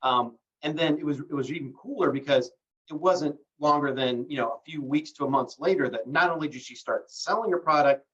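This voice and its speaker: A man's voice: on the high side, fairly quick. A man hosts a live online call in a loose, filler-heavy way while recovering from bronchitis. He sounds set back from the mic. The audio is dull and lo-fi. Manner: relaxed, many fillers, promotional. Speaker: host. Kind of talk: livestream call hosting. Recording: distant muffled lo-fi call audio.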